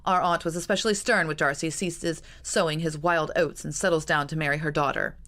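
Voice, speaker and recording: Woman playing a mocking, teasing gentleman. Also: low voice, steady tone, fairly quick. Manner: teasing, showy.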